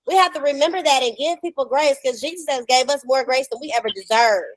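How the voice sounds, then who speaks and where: A woman in her thirties hosting a casual podcast, talking in an animated, sassy, slangy way. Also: high-pitched, quick pace, poor audio. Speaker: podcast host. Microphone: video call.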